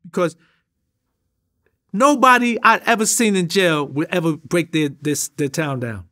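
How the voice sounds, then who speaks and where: A man's voice: fairly high pitch, unhurried, pushed and bright. A middle-aged man with a New York accent, an interview guest telling street stories emphatically, full of slang. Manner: streetwise, emphatic, heavy slang, gestures while talking. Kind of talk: animated street storytelling. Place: indoor studio with a drum kit.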